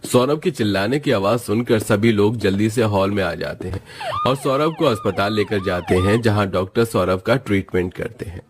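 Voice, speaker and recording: Low-voiced male storyteller. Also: normal speed. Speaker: narrator. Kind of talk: scripted moral story narration.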